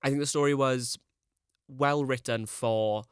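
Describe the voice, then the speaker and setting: A man's voice: medium pitch, fairly even intonation, a little slow, smooth, no echo, studio quality. A young man, chatting as a podcast guest, rambling and full of "like". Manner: rambling, peppered with "like". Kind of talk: casual chat.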